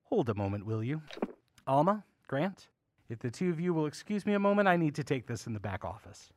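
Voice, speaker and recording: An actor as a courtly, swooping theatrical old uncle. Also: male voice, on the high side, very animated, brisk, darkish tone. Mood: courteous. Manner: genial, theatrical, old-fashioned courteous phrasing. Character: courtly, whimsical old uncle running a curiosity shop. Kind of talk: audio drama acting.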